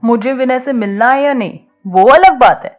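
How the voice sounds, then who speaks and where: A woman's voice: a little high, moderate pace, natural voice. A woman voicing a young college student in an audio story, wry and casual in how she talks. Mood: teasing. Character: shy but teasing young woman in college.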